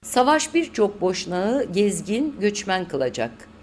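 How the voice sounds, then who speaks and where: A woman's voice: medium pitch, big pitch swings, slow. A woman reading scripted documentary narration. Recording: clearly noisy track with clicks.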